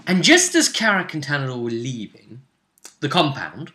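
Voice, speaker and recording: A man telling the story of a tabletop role-playing session as a host, in a casual, halting way, acting out bits of dialogue as he goes. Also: on the high side, wildly swooping pitch, sharp tone.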